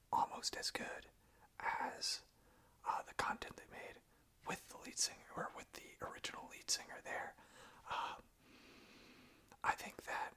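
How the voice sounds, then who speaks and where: An ASMR host speaking informally in a breathy, unvoiced whisper, with a soft, gentle and hesitant delivery.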